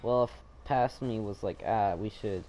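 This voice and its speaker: A man with a low voice hosts a gaming video in a casual, offhand way. He talks slowly, tossing in joking asides over clear game music. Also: dark tone, rough recording. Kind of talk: casual gameplay commentary.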